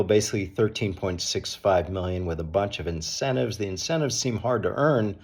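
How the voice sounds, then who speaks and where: A middle-aged or older man with a cold, hoarse and slightly raspy, giving conversational, opinionated sports commentary as the host of a daily show. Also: lowish voice, darkish tone.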